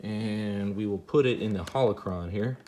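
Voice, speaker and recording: Low-voiced man, halting, with background noise. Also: mellow. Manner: hesitant, halting, repeats himself. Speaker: host of a hobby gadget demonstration video. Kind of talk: casual unscripted product demo.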